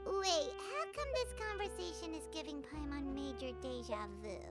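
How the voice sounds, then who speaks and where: Game companion: tiny childlike girl, eager, squeaky, extremely high and animated, music underneath. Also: moderate pace. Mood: concerned. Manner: speaks of self in third person, exclamatory. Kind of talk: video game character acting. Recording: background music.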